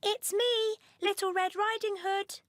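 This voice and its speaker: A woman's voice: very high voice, fairly even intonation, a little slow, fairly bright tone, no room sound, clean studio sound, pitched above the performer's own voice. A woman voicing an innocent, polite young girl in a storybook, breathless and wide-eyed. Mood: wondering. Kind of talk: storybook reading.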